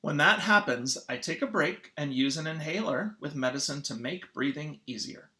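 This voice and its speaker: Children's read-aloud, teacher, expressive delivery, very lively intonation, medium pitch. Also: normal speed. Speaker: teacher reading a picture book aloud.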